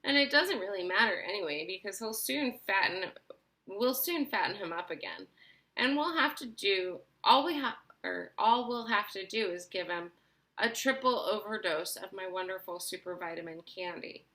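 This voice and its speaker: A woman's voice: mid-range voice, animated, moderate pace, sharp tone. A woman voicing an eccentric, cheerful male candy maker in a storybook reading, bouncy and breezy.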